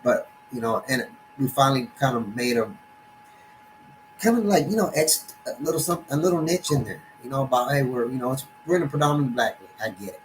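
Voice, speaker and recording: A middle-aged Texan man talks sports on his live stream at a mid-range pitch. He rambles, stops and restarts his sentences, and leans on "you know" and "man". A faint hiss of noise sits under his voice. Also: animated, poor audio. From Texas, USA. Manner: rambling, halting, many restarts and fillers. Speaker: sports channel host. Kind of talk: live-stream casual monologue. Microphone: desktop computer setup.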